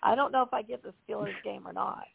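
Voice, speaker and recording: A woman's voice: mid-range voice, fast, no room sound. A woman hosting a casual call-in radio chat, losing her voice, chatty and laughing often. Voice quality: gravelly, husky. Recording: tinny dry phone line.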